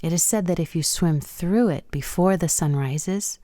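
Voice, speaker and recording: A woman's voice: fairly low pitch, moderate pace, warm tone, no room sound, clean studio sound, natural voice. An actress playing a proud, coy young Japanese woman who teases and tells tales with precise wording in a radio play. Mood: hushed, mysterious.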